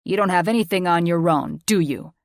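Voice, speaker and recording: A woman's voice: fairly low pitch, a little fast, no room sound, studio quality, close to an everyday voice. A woman narrator voicing a cold, controlling, furious middle-aged father in an audiobook, with a menacing, accusing delivery. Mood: angry, accusing. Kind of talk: audiobook character voice.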